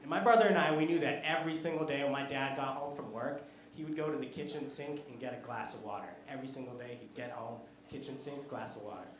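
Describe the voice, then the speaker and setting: A man's voice: mid-range voice, fast, slight background noise. An American man in his thirties doing a chatty stand-up set, teasing the audience on a comedy club stage. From United States. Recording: echoey club room with audience laughter.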